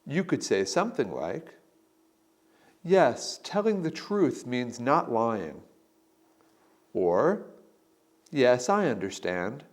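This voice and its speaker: A man slowly reading model answers for a practice interview, pronouncing each one deliberately. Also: mid-range voice, very animated. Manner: slow, deliberate, model answers read with emphasis. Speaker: instructor giving sample answers. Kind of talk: scripted instructional narration.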